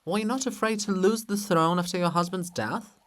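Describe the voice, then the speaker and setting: A man's voice: high-pitched, brisk, a bit bright, pitched above the performer's own voice. Man putting on a bold, sly, theatrical sister. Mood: sly, challenging. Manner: theatrical, teasing, insistent. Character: Sister Lybid, bold, sly, devoted female river spirit.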